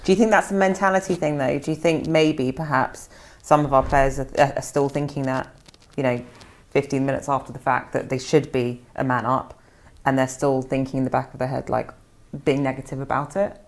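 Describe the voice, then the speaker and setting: Young Norwegian woman, low voice, inquisitive and animated fan. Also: brisk. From Norway. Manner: questioning, animated. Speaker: fan show panelist. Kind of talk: casual football chat.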